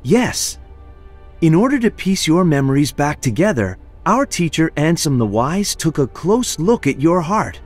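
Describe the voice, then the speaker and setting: A man playing a polite, thoughtful character in a video game, husky and explaining things carefully. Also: fairly high pitch, big pitch swings, a little slow, soft background music.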